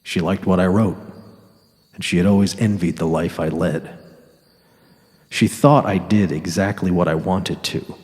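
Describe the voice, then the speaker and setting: An actor giving a measured dramatic reading as an ailing, world-weary, cynical writer on safari. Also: male voice, deep voice, fairly even intonation, darkish tone. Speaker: actor narrating in character. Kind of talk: dramatic first-person literary narration. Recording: long reverb on the voice.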